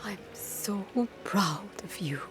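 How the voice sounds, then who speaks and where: An actress playing a middle-aged mother in a fantasy game, tender yet sinister and controlling, with a deliberate, breathy, dramatic delivery. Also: mid-range voice, music in the background, close to an everyday voice. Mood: tender, coaxing.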